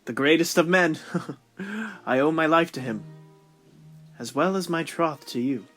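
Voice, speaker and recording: A man as a high-voiced, gallant young lieutenant. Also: measured pace, a bit bright, soft background music. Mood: tender. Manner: formal, flowery. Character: courteous, devoted young lieutenant in love. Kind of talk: amateur period audio drama acting.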